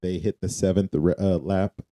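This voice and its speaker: A man's voice: deep voice, steady tone, moderate pace, mellow. A man co-hosting a faith podcast, speaking informally and emphatically, often repeating phrases to drive them home. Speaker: podcast co-host. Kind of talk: casual conversational faith podcast.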